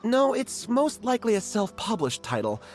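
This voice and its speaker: A man's voice: sits high, wildly swooping pitch, normal speed, faint music behind. A man voicing a chatty young writer in a video game, breezy and gossipy.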